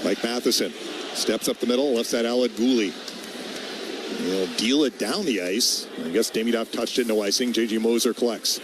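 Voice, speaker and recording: A man works as a radio play-by-play announcer. His mid-pitched voice delivers hockey commentary in short, clipped phrases. Clear background noise from the game runs behind him. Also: normal speed. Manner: clipped phrases following the action.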